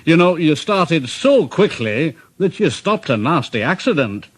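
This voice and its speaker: A man voicing a big, proud express engine in a storybook, speaking in a slow, measured way. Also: on the high side, big pitch swings, slightly rough, exaggerated voice. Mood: approving.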